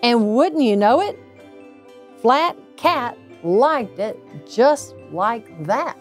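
A middle-aged woman reading a children's bedtime story aloud, with deliberate, drawn-out emphasis on phrases. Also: a little high, lively intonation, faint music behind. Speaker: storybook reader, local insurance agent.

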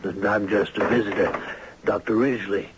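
An actor playing an older widowed doctor, affable, courteous and gently teasing, with a husky voice, in a 1970s radio drama. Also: male voice, fairly low pitch, fairly even intonation, moderate pace, background noise, natural voice. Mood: affable, curious. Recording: muffled 1970s radio recording.

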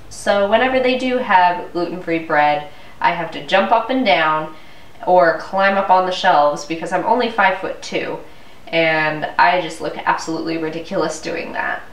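A woman's voice: lowish voice, measured pace. A young woman with a cold, sounding stuffy and congested, ranting casually to her vlog camera at home. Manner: chatty, exasperated ranting. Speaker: vlogger. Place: living room at home, indoors. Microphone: camera mic from a few feet away. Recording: roomy, distant camera audio.

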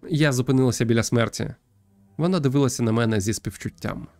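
A man's voice: mid-range voice, fast, darkish tone, soft background music, natural voice. A man voicing a sensitive young girl who narrates her sad journey in a visual novel. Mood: sad, tender.

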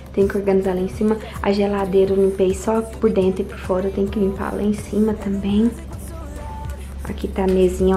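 A woman's voice: mid-range voice, warm tone. A young woman from Brazil giving a chatty home tour, filming herself on a handheld phone in and around her house. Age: young adult. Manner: chatty, addresses viewers directly. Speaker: home vlogger. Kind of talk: casual home tour vlog. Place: house and yard, in and out of doors. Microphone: phone held at arm's length. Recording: music playing underneath.

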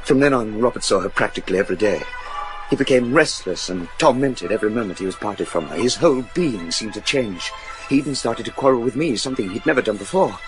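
A man acts out an earnest, troubled young gentleman in a gothic radio drama. He has a deep voice and tells his tale gravely and formally, with faint music behind. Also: animated, quick pace, lightly acted, poor audio. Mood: grave, haunted. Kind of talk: dramatic storytelling in radio play.

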